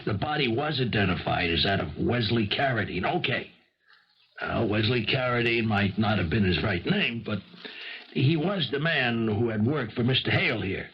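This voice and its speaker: An actor playing a plodding, hesitant police lieutenant in a 1970s radio drama, methodical and slightly husky. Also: male voice, lowish voice, animated. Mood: patient, insistent. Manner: halting, methodical, full of hems and haws. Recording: dull 1970s radio broadcast recording.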